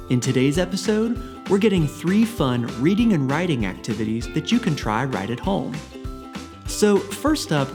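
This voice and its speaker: A woman with an American accent presenting a scripted children's educational show as its host. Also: deep voice.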